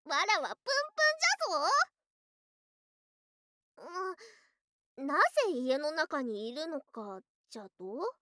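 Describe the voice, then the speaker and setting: A woman's voice: sits high, big pitch swings, moderate pace, fairly bright tone, a little hiss or hum. A woman playing a doting, possessive lamia yokai wife in an ASMR voice drama, with haughty but affectionate archaic speech. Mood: pouting, insistent. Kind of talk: ASMR situation voice drama acting.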